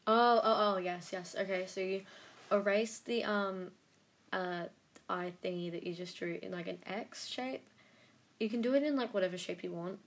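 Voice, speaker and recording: A young woman narrating a drawing-app editing tutorial in a casual, rambling way, full of fillers and self-deprecating asides. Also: mid-range voice. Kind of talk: casual screen-recorded tutorial.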